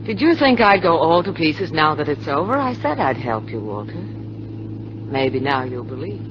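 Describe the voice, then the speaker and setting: Cool scheming femme fatale, old radio. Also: female voice, sits low, big pitch swings, a little slow. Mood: anxious, intimate. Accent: American. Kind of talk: 1950s radio drama acting. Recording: dull, noisy old radio broadcast.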